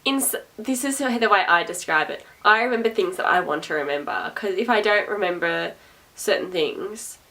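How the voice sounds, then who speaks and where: A young adult woman talks casually to camera in a loud, projecting voice. She is sarcastic and chatty, repeats herself and laughs often. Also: medium pitch, animated, normal speed. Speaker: vlogger. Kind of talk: rambling casual talk to camera. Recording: voice a little distant from the mic.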